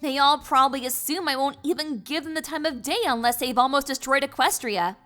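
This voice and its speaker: A woman's voice: sits high, a little fast, sharp tone, soft background music. A woman voicing a bookish young pony princess in a fan fiction audio drama, awkward and earnest, with a wry, flustered delivery. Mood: wry self-mockery.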